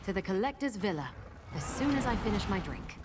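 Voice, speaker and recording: An actress playing a kind masked immortal woman with lost memories, speaking in a gentle, reflective way. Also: medium pitch, very animated, fairly quick, noisy background, lightly acted. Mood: friendly.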